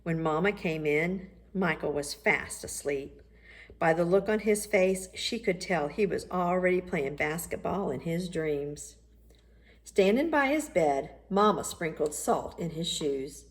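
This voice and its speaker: A woman reading a children's storybook aloud as an expressive narrator. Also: lowish voice, measured pace. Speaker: audiobook reader.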